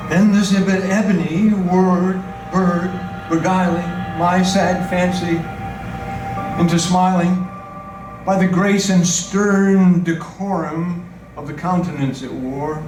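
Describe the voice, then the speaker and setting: A man giving a dramatic poetry recitation in a theatrical, deliberate manner with long pauses. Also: high voice, steady tone. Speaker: poetry reader. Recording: roomy, distant mic with music. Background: music.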